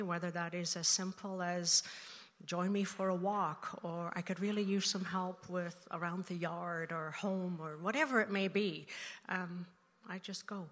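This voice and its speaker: A woman's voice: mid-range voice, fairly bright tone. Older Dutch-born woman in a reverberant church. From Netherlands. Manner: thoughtful, halting, many fillers. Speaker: interview guest, church member. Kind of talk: personal testimony in church interview. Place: church sanctuary. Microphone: handheld mic. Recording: long hall reverb in a church.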